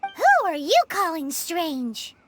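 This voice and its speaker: A tiny fairy companion from a video game, extremely high and childlike. The delivery is exclamatory, cheeky and swoops around with lively pitch, drawn out at a slower pace over prominent background music. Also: female voice, full character voice. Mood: indignant. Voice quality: squeaky, childlike. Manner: refers to self in third person, exclamatory. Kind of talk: animated video game voice acting.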